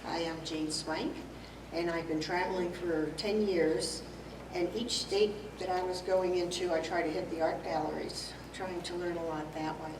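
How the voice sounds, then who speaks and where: A woman's voice: on the low side, fairly even intonation, moderate pace. A woman introducing herself at a club meeting in a chatty, storytelling way. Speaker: new member introducing herself. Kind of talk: casual self-introduction. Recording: echoey room, distant mic, noise.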